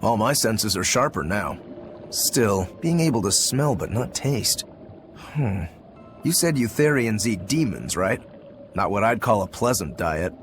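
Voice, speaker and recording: A man's voice: lowish voice, expressive, unhurried, a little hiss or hum. Laid-back swordsman demon. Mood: curious. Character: easygoing male swordsman war demon, fond of drink. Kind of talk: video game cutscene acting.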